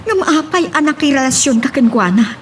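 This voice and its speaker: A woman acting a high-voiced, scolding confidante. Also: lively intonation, normal speed, a little hiss or hum. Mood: reproachful. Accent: Filipino, Ilocano. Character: concerned, scolding female friend and confidante. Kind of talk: Ilocano radio-style melodrama acting.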